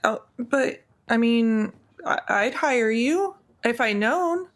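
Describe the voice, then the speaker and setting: A woman's voice: fairly high pitch, lively intonation, slow. A woman voicing a nervous, earnest, awkward young woman in a tabletop game, stammering and repeating herself.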